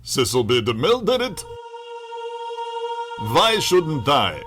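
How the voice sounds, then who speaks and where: An actor playing a campy, scheming Russian villain with a grievance, bombastic in broken English, in an old-radio parody. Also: male voice, medium pitch, very animated, slow, music in the background. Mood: aggrieved ranting.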